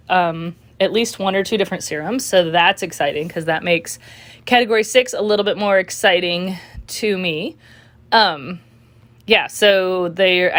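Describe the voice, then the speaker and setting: A woman's voice: lowish voice, a bit bright. Chatty young woman with a stuffy cold. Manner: chatty, filler-heavy, apologetic asides. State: slightly congested from a cold. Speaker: shopping vlogger. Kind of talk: casual screen-recording walkthrough.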